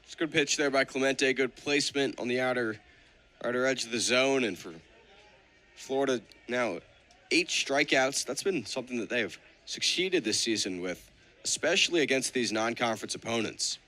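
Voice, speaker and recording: A man giving measured, analytical color commentary on a live radio baseball broadcast. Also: medium pitch, pushed and bright.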